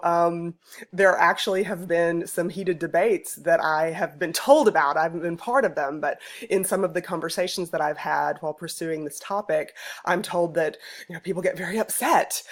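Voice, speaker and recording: A woman giving an informal narrated presentation as a researcher, explaining things in a halting way with pauses mid-phrase. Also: on the low side. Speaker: student researcher presenting an ethnography project.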